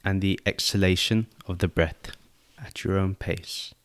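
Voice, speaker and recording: A man leading a guided meditation as host, giving calm, unhurried instructions with pauses. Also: low voice, dry recording.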